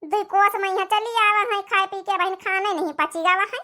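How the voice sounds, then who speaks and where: Squeaky, fretful Awadhi village woman. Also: fairly even intonation, normal speed, bright, forceful tone. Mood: bustling. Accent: Awadhi, rural north India. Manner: dramatic, repetitive rustic chatter. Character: fretful, gossipy village mother-in-law, dramatic and talkative. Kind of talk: cartoon comedy dialogue.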